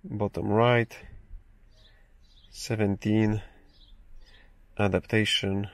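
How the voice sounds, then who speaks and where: A man's voice: on the low side, level tone, extremely slow, dry recording. A man hosting a casual how-to tutorial from inside a parked car, explaining steadily with frequent fillers and restarts. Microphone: phone or camera held in hand, speaker off screen.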